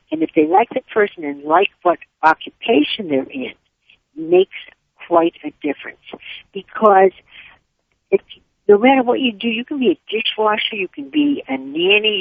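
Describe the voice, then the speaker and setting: Older woman, raised speaking Yiddish, lively up-and-down voice, gravelly edge, tinny phone line. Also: medium pitch, no room sound. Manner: upbeat, rambling, quick tangents. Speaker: author guest. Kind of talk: casual interview chat.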